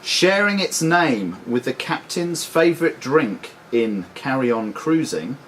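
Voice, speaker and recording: A man reading questions and giving rulings as quizmaster, deliberate and formal. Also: mid-range voice, big pitch swings, a bit bright. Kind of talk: reading quiz questions at a live club quiz. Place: sports and social club room. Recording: clearly noisy live club recording.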